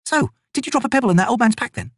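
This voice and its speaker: A man voicing a teasing female soldier in a high, light, mocking voice. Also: lively intonation, rapid-fire, a bit bright, dry recording, clean studio sound, full character voice.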